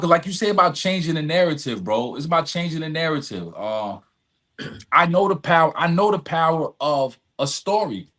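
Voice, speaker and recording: A middle-aged man with a slightly high-pitched voice speaks as a guest in a conversational interview. He is earnest and emphatic, repeating key phrases and tagging his points with a quick "right?". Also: a bit bright. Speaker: podcast guest, nonprofit executive director.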